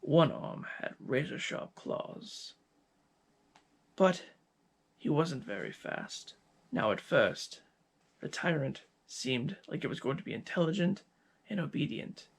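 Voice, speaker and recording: A man playing a cold, gloating scientist villain in an ASMR roleplay, speaking with deliberate, menacing pauses in a gravelly, husky voice. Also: a little high, big pitch swings, full character voice. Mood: proud, coldly menacing.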